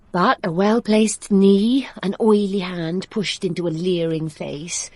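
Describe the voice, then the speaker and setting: An actress in a radio drama playing a cool, frank, worldly young woman with a husky voice. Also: lowish voice, a little mellow, no echo.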